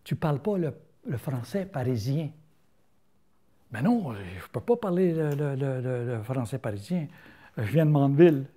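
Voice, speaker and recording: An older man with a rural Quebec French accent, telling stories in a casual interview, folksy and halting, full of fillers and restarts. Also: medium pitch, expressive, mellow. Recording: interview filmed on camera.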